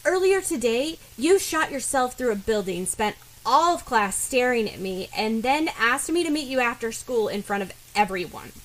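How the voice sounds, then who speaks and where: A woman's voice: fairly high pitch, lively intonation, normal speed, few pauses, a bit bright, low-quality recording. A woman voicing a feisty, persistent teenage girl in a story, questioning and pushy. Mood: vindictive, energetic.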